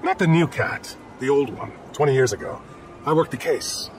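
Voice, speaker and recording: An actor playing a dogged, businesslike veteran police detective near retirement in a video game. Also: male voice, medium pitch, normal speed, close to an everyday voice. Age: older. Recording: distant voice under loud city noise.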